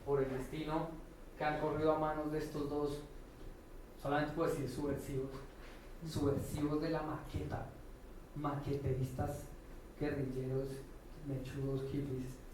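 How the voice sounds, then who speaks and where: A man's voice: fairly high pitch, little pitch movement, normal speed, long pauses, a little mellow. A Colombian man giving an informal lecture as a professor, speaking in a colloquial, slangy, irreverent way and often checking in with short tag questions. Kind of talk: informal conference talk. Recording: echoey room, distant mic, faint noise.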